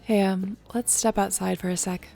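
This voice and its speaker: A woman acting in an audio roleplay as a warm young shapeshifter clan leader, talking casually and sincerely. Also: medium pitch, steady tone, normal speed, vocal fry, faint music behind, natural voice. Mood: easygoing, encouraging. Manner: casual, sincere, conversational storytelling.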